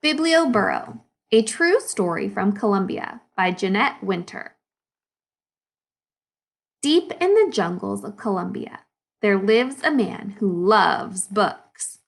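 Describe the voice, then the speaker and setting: A woman speaking as an elementary school teacher, reading a children's picture book aloud in an expressive, instructive way and pausing to explain and ask questions. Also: medium pitch, gravelly.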